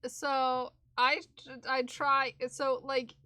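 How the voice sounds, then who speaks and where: A woman's voice: sits high, little pitch movement, slow pace, pushed and bright, clean tone, no room sound. Giggly, rambling young woman streamer. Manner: rambling, giggly, slips into accents and sings. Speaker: livestreamer knitting for charity. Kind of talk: casual live-stream chat.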